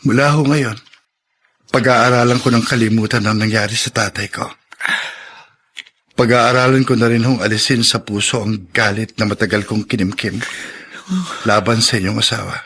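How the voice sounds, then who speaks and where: A man's voice: on the low side, steady tone, moderate pace, pauses often, pushed and bright, husky, heavily acted. A man voicing an earnest young engineer who speaks politely in an audio drama. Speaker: voice actor.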